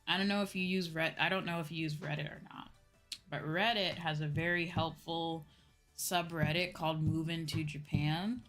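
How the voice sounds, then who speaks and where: A woman's voice: deep voice, steady tone, normal speed, fairly bright tone. A woman streamer chatting casually and giving advice in a conversational way, often repeating herself for emphasis. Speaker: streamer host. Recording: background music. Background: music.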